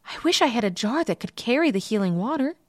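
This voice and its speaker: A woman's voice: on the high side, very animated, fast talker, no room sound, studio quality, voice pushed up. A woman voicing a brave, earnest young peasant girl in a storybook tale. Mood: excited.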